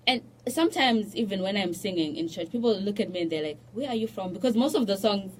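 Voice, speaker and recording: A young woman from Zimbabwe who is a musician, chatting as a guest in a radio studio and telling stories with restarts and soft laughs. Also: mid-range voice, lively intonation, fast talker, slight background noise. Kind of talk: casual radio interview chat.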